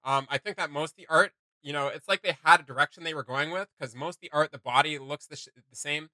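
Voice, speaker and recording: A man's voice: fairly high pitch, fast, sharp tone, no room sound. A young man chatting casually as a reaction video host, with loose, slangy delivery. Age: young adult. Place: small home room with desk, indoors. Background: music.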